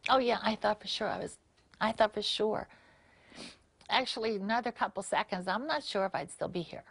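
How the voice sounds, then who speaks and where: A woman's voice: medium pitch, moderate pace, gravelly, breathy edge. A woman tap dance teacher telling her own story in an earnest, personal way during an interview.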